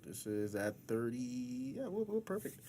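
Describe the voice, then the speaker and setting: A man's voice: medium pitch, big pitch swings, measured pace, mellow, slight background noise. A man hosting a casual live podcast, chatty and jokey, often repeating his phrases. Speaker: podcast host.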